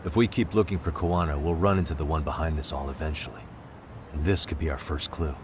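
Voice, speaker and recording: An actor playing a cool, hard-nosed private detective in a video game dub, measured and matter-of-fact. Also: male voice, extremely low pitch, fairly even intonation, background noise. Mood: cool, analytical.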